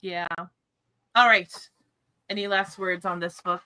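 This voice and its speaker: Bright-voiced woman hosting a book chat. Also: mid-range voice, steady tone, a little slow, clear, resonant tone, poor audio. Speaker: livestream book discussion host.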